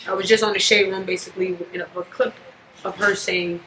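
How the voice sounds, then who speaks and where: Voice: medium pitch, flat delivery, sharp tone. A podcast host in their twenties who leads the discussion and keeps asking the others questions in a casual chat. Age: 20s. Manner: fast-talking, leads the questions. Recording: roomy echo with faint background hiss.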